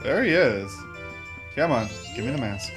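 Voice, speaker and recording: A man's voice: mid-range voice, sing-song, normal speed. A man hosting a let's play with casual, rambling gameplay commentary, often interrupting himself with joking asides. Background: video game music.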